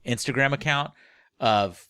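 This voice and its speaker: A man's voice: medium pitch, a little slow, pushed and bright, clear, resonant tone, dry recording, clean studio sound. A man co-hosting a casual book club podcast, speaking informally with a joking, crude sense of humour. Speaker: podcast co-host.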